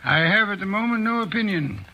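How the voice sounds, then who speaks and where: An actor playing an imperious, fastidious middle-aged detective in old radio drama, speaking in precise, measured phrases. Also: male voice, sits high, lively intonation, muffled recording. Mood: smug. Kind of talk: 1940s radio acting.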